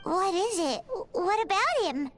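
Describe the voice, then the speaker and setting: A woman voicing a tiny chirpy fairy companion girl from a game, with a squeaky, childlike, cutesy delivery. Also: animated, unhurried, a bit bright, soft background music, exaggerated voice. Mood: curious.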